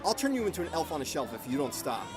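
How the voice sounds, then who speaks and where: A woman's voice: low-pitched, sing-song, rapid-fire, loud music behind the voice. A woman playing a sarcastic, incredulous young skeptic in a radio drama.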